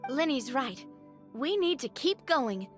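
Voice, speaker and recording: An actress playing a curious, eager young adventurer in a video game, with a deliberate, inquisitive delivery. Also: female voice, sits high, big pitch swings, faint music behind, heavily acted. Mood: determined.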